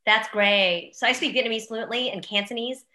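A middle-aged woman chatting as an interview guest, an animated, expressive storyteller, recorded in an echoey room at home. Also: fairly high pitch, moderate pace. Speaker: podcast guest, real estate agent and former news anchor. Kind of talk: casual interview chat. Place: room in a new house.

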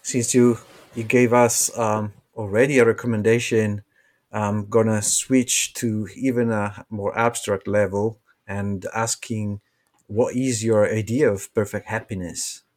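Male interviewer, moderately low pitch. Conversational, hesitant phrasing, co-host role. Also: level tone, a little mellow. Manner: hesitant, halting.

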